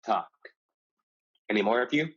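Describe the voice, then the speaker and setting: An actor playing a wry, calculating pirate with a dry, halting delivery in a staged play reading. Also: medium pitch, a bit bright, natural voice. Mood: menacing, amused. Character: wry male pirate, calculating yet honorable suitor. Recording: dull lo-fi sound.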